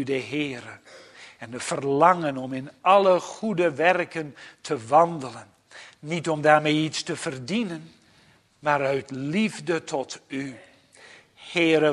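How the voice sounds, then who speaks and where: A man leading a solemn church prayer as pastor, speaking earnestly and pleadingly in measured phrases broken by pauses. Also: a little high.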